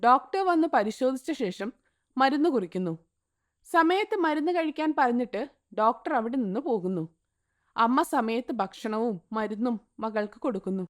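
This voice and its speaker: A woman narrating an animated moral story in a scripted storybook style. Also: a little high. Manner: even, storytelling tone.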